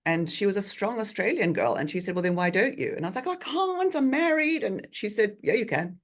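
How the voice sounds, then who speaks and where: A woman in her forties from South Africa, an osteopath giving a chatty online talk with frequent ums, on a video call from a bright treatment room. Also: mid-range voice, big pitch swings, fairly quick. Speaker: osteopath guest speaker.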